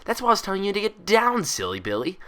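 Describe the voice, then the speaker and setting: Man putting on a high, hyper, chirpy girl pony. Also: quick pace, exaggerated voice. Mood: bubbly. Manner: bubbly, rambling, childlike excitable chatter with filler "like". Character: hyper, cheerful, gullible young female cartoon pony.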